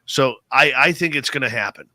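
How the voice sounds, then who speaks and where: Bright, punchy radio host. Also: male voice, mid-range voice, animated, fairly quick, no room sound. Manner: enthusiastic, emphatic. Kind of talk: radio interview.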